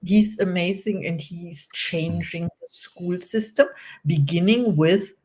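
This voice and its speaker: Slow-speaking woman hosting an interview. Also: fairly low pitch, darkish tone, rough recording. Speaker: podcast host.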